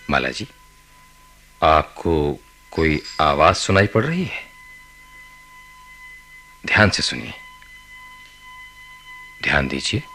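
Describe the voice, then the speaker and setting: A man acting in a radio play as an intense, authoritative senior psychiatrist, speaking deliberately with probing, dramatic pauses. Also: low-pitched, sharp tone, exaggerated voice. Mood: probing, commanding. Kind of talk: radio play acting, Hindi mixed with English.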